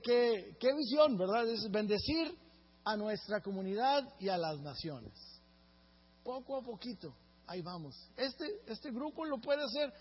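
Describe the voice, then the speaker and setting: A man's voice: sits high, husky, long reverb tail, lo-fi sound. A man from Mexico, preaching a sermon as pastor and often checking in with the congregation. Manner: earnest preaching, often asks the congregation "right?".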